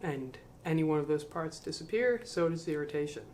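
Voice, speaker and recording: A man reading a spiritual book aloud to camera. He adds slow, musing commentary, questions himself, and trails off into mumbles. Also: fairly high pitch, expressive, a little mellow, a little hiss or hum.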